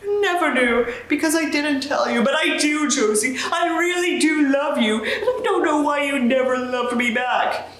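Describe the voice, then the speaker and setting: A woman voicing a drunk, heartbroken young man, with an attempted British accent, loud and wounded. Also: high-pitched, lively intonation, moderate pace, few pauses, slight background noise. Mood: drunk pleading. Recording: very echoey room.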